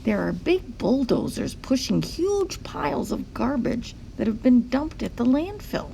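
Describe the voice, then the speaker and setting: A woman's voice: on the high side, big pitch swings, measured pace, vocal fry, warm tone, slight background noise, rough recording. A woman reading a picture book aloud to young children, explaining things simply like a teacher. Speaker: teacher-like read-aloud host.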